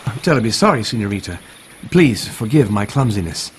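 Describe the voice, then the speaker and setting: A man's voice: on the low side, sing-song, a little fast, a little hiss or hum. An actor playing a polite, mild young nobleman and dutiful son in a game, with a suave, genteel manner. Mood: courteous. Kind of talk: video game cutscene acting.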